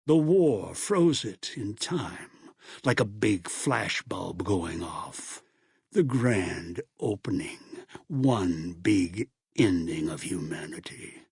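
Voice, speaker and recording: An actor playing a world-weary narrator of a doomed legend, with a husky, gravelly voice and an ominous, dramatic delivery. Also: male voice, deep voice, expressive, a little mellow, no echo, clean studio sound, full character voice. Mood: ominous, brooding. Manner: slow, ominous. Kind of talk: dramatic scripted game narration.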